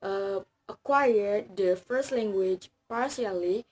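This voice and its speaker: A woman's voice: medium pitch, slow, breathy edge. A young woman from Bali, Balinese native speaker with an Indonesian accent, giving a class presentation in careful, hesitant speech with many fillers and self-corrections. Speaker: student presenter.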